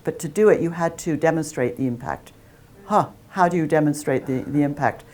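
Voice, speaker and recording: A woman's voice: sits low, a little mellow. A woman academic speaking at a talk and answering audience questions, chatty and self-deprecating, with frequent restarts.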